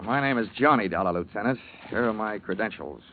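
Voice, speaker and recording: An American actor playing a wry, clipped, wisecracking insurance investigator in 1940s radio detective style. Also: male voice, lowish voice, animated, brisk, a little hiss or hum, lightly acted. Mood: probing. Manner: wry, clipped, hard-boiled delivery. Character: wisecracking, cool male insurance investigator narrating his case. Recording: narrow, tinny old radio recording, music.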